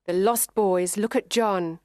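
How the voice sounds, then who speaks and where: A woman reads a children's story for learners. Her pace is slow and deliberate, each word carefully enunciated, in a medium pitch with a very dry sound. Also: steady tone, a little mellow, dry recording. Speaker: narrator. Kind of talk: scripted children's story narration for English learners.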